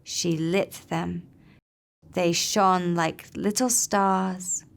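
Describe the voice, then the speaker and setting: Slow, gentle woman telling a children's story. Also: on the low side, fairly even intonation, gravelly. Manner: gentle, unhurried, simple storybook delivery. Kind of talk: scripted children's puppet-show storytelling.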